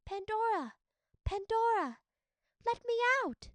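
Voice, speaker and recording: A woman's voice: very high voice, very animated, slow, no echo, voice pushed up, exaggerated voice. A woman voicing a tiny, helpless trapped creature in a storybook, with a thin, urgent, pleading voice. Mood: calling urgently.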